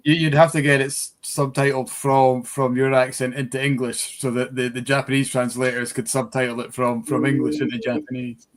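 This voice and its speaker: A man's voice: medium pitch, flat delivery, fairly quick. Man making dry joking asides. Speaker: whisky shop co-host. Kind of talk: casual chat.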